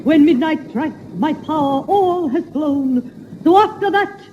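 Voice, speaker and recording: Grand panto fairy godmother, swooping, slow, declamatory verse on a dull old radio recording. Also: high-pitched, animated, mellow. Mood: grand, commanding. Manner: declamatory, singsong. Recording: dull, faintly noisy 1960s radio, audience.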